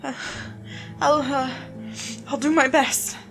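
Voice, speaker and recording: Lady doing a freaked out shaky witness in a creepy story, higher voice, stuttering and pleading, music under it. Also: little pitch movement. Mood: nervous, shaky. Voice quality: tremulous, breathy gasps. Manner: stammering, halting, pleading. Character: shaken, panicked suspect recounting a horror to police. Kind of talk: dramatic horror story reading.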